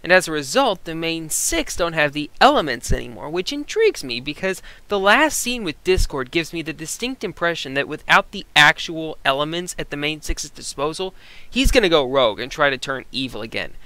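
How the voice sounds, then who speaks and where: Bright, higher-pitched male reviewer. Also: sing-song, brisk, no room sound. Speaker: co-host reviewer. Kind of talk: conversational scripted review commentary.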